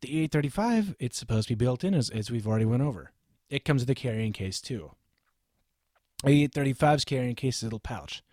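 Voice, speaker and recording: A man's voice: medium pitch, animated, fast, a little mellow, no echo. A man reviewing microphones in a small home studio, talking in an emphatic, lecturing, self-assured way while he switches between mics. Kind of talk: opinionated gear review to camera. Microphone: studio microphone, switched during the video.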